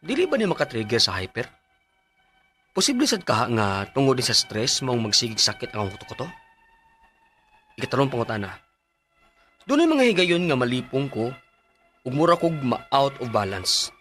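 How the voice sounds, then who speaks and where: An actor with a Cebuano accent playing an anxious male patient with high blood pressure in a Bisaya radio drama. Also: big pitch swings, moderate pace, pauses often, fairly bright tone, faint music behind. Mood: troubled, pleading. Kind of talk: Bisaya radio drama acting and letter narration.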